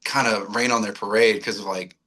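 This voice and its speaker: Male radio personality, mid twenties, bright forward voice, loose slangy delivery, self-deprecating rambles. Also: fairly low pitch, steady tone, a little fast. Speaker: podcast guest, radio on-air personality. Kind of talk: casual chat.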